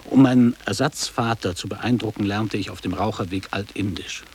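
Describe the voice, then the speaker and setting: A man's voice: on the low side, normal speed, slight background noise, close to an everyday voice. An actor playing a nostalgic man in his fifties in a radio play, declaiming literary reminiscences in clipped phrases. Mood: reminiscing. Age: 50s. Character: nostalgic man around fifty revisiting his old boarding school.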